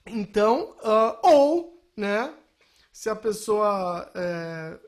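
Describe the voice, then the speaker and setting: A woman giving an informal software lesson as a music technology teacher, explaining and often checking in with short tag questions. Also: medium pitch, sing-song, extremely slow.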